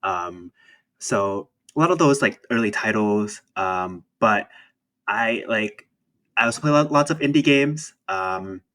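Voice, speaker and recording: A young man from Thailand chats about games as an interview guest. He has a low voice and talks hesitantly, restarting his sentences and laughing easily. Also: animated, unhurried, bright, forceful tone. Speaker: indie game developer, guest. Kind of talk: casual interview chat.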